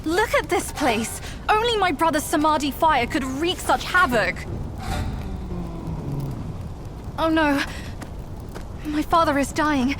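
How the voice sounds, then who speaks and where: High-pitched pleading young fox princess. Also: female voice, animated, unhurried, over music. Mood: urgent. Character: young fox princess, pleading, earnest daughter of a bull king. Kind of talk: dramatic video game cutscene acting.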